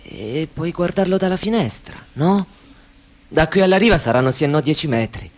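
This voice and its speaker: An actor playing an anxious, controlling man in a radio play, who talks insistently and broods, dropping to a hush and then pressing hard. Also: fairly high pitch, lively intonation, normal speed, lightly acted. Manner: brooding, insistent, swings between hush and urgency. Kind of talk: Italian radio play acting.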